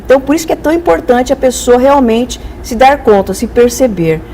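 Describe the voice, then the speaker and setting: A woman's voice: high-pitched, expressive, a little fast, warm tone, slight background noise. A Brazilian woman speaking as an expert guest in a conversational, didactic way, illustrating with acted-out examples. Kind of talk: conversational explanatory interview.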